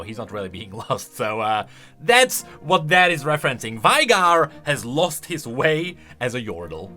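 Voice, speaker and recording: Male host for casual gaming commentary, bright forceful tone, lively swings, thinks out loud emphatically. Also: a little high. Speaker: video creator and host. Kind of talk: casual game lore commentary.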